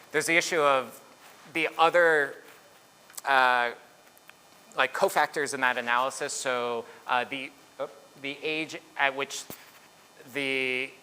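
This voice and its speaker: Man in his thirties presenting research, bright halting voice, echoey hall with faint noise. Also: mid-range voice. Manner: halting, filler-heavy, restarts mid-thought. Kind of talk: conference talk with Q&A.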